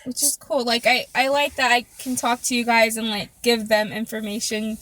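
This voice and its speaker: Giggly high-voiced woman, hesitant chat. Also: little pitch movement, moderate pace, fairly bright tone, poor audio. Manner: giggly, hesitant, many fillers. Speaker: podcast guest. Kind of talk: casual chat.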